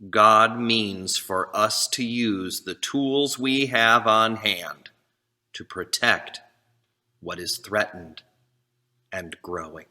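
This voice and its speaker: A man introducing and reading a poem aloud to a congregation, measured and deliberate. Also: fairly low pitch, pushed and bright. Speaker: congregation service leader. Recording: long reverberant hall echo.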